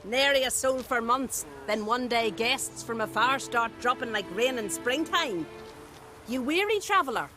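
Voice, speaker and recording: An actress playing a chatty, folksy village innkeeper in a video game. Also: female voice, fairly high pitch, animated, unhurried, over music.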